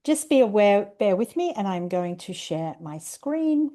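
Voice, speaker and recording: A woman with an Australian accent giving an online presentation over a video call, explaining ideas step by step in a measured way. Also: medium pitch, sing-song, mellow. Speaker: webinar presenter.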